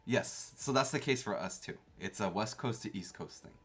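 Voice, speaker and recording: A man's voice: fairly low pitch, animated, normal speed, fairly bright tone. A young man from Canada who grew up speaking Korean at home, chatting casually with live-stream viewers and reading the chat aloud. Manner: chatty, reads chat aloud, playfully defensive.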